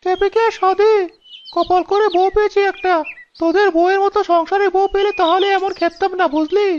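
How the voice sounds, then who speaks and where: An extremely high, squeaky henpecked husband complains in a village cartoon. The character sounds exasperated and put upon. The audio is dull, with birds chirping behind. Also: female voice, fairly even intonation, fairly quick, slight background noise.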